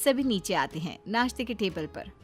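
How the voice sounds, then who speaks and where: A woman's voice: mid-range voice, animated, normal speed, over music. A woman storyteller delivering scripted story narration.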